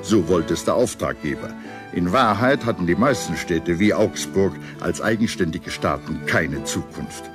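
Low-voiced male documentary narrator. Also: expressive, moderate pace. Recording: music playing behind the voice. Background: background music.

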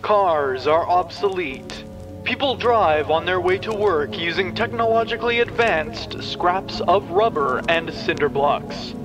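A man narrating a scripted dystopian story in a measured, dramatic manner. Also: high-pitched, animated, over music.

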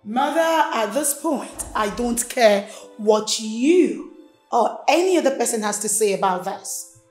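A woman's voice: fairly high pitch, animated, unhurried, a little mellow, faint music behind. A young woman playing a desperate, defiant daughter with a Nigerian accent in an emotional film drama. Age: young adult. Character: desperate, defiant young daughter married off against her will. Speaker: actress.

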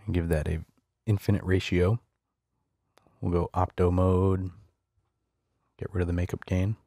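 Male screencast tutor, casual and explanatory, low-voiced with vocal fry. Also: little pitch movement, unhurried, dark tone, no echo, studio quality. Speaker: software tutorial instructor. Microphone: close studio voice-over microphone.

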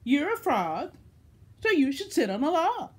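A woman voicing a bossy, insistent know-it-all cat from a storybook, with emphatic, drawn-out delivery. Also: high voice, sing-song, voice pushed up.

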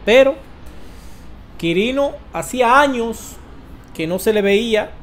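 A man in his forties with a Dominican accent gives informal news commentary as a host, halting and emphatic, with dramatic pauses. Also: extremely high pitch, animated, slight background noise. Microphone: close desk microphone.

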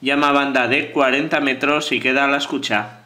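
A man works as a ham radio operator. His voice is bright and forward at a middle pitch, and he calls out phonetic call signs and contact details. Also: steady tone, brisk, a little hiss or hum.

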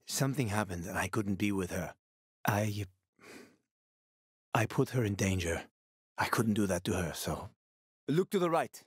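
A man's voice: on the low side, breathy edge, dry recording, studio quality. An actor playing a roguish, wisecracking male survivor in a video game, talking in a casual, teasing, sweary way. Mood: regretful, subdued.

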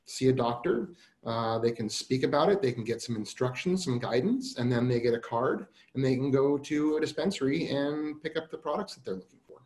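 A man's voice: medium pitch, darkish tone. Middle-aged man in an echoey room. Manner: casual, halting, many fillers. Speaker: panelist. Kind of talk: panel discussion over video call. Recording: roomy video call audio.

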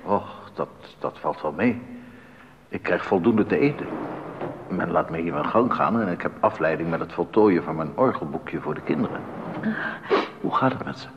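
Calm, loving husband, soothing, varied intonation, muffled radio play recording. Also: male voice, low voice, moderate pace, gravelly, pauses often. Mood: gentle, soothing. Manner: gentle, steady. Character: calm, reassuring imprisoned musician, a loving husband. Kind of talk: 1980s radio play acting. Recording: dull, echoey radio recording, faint background noise.

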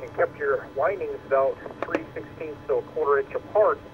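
A man's voice: fairly high pitch, normal speed. A man talking casually over two-way radio as an amateur operator, giving practical technical advice. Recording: dull lo-fi radio audio, loud noise.

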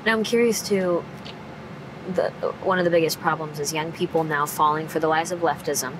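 Woman, interviewer, low pitch, curious, noisy outdoors. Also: steady tone, moderate pace. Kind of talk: interview questions.

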